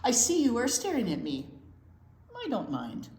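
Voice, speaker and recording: Storybook snail, played by a woman: small, French, vain and charming, animated delivery, roomy echo. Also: on the high side, moderate pace. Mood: vain, inviting. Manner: self-admiring, theatrical. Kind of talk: storybook read-aloud for young children.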